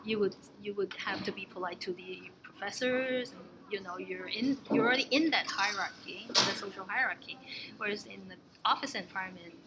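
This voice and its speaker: A woman's voice: mid-range voice, a little fast. A young adult woman from Canada, answering interview questions casually and thoughtfully, often restarting her phrases. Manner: thoughtful, many restarts and fillers, soft trailing asides. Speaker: interviewee, exchange alumna. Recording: noisy room ambience.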